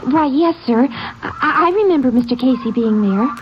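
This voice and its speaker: An actress playing an eager young high school girl usher who gushes and stumbles over her words, in an old radio drama. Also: animated, moderate pace, over music. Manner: breathless, gushing, hesitant starts. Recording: noisy dull old radio broadcast.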